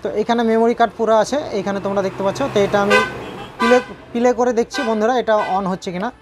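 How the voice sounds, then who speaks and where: Very fast-talking woman, noisy recording. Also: fairly high pitch, fairly even intonation. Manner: repetitive, checking in with viewers with tag questions. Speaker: repair tutorial presenter. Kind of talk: informal hands-on repair tutorial narration.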